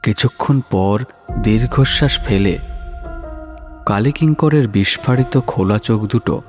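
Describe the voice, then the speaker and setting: Slow, low-voiced male horror narrator. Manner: dramatic, measured, suspenseful. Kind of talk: scripted horror story narration. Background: music.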